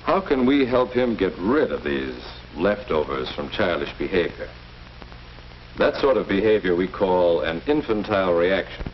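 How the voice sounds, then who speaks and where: Calm firm school principal, low voice, expressive intonation, avuncular, formal, muffled old film soundtrack. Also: male voice, normal speed, a little hiss or hum. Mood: calm, earnest. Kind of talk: 1940s educational film acting.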